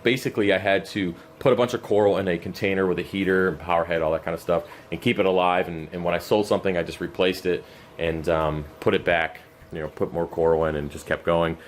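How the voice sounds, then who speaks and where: Deep-voiced guy, tired and going scratchy, rambling update from his fish room, faint hum behind. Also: steady tone, fast talker. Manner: loose, rambling, many fillers and restarts. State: losing his voice, scratchy throat; exhausted. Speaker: aquarium channel host. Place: cluttered home fish room. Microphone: camera mic while walking around.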